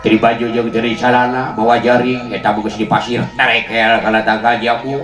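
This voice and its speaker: A man's voice: mid-range voice, flat delivery, fairly quick, fairly bright tone. A man with a Sundanese accent tells comic tales in rhymed patter, playful and chuckling as he goes. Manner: playful, chuckles as they talk. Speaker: comic storyteller, show host. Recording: echoey amplified sound with music behind. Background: music.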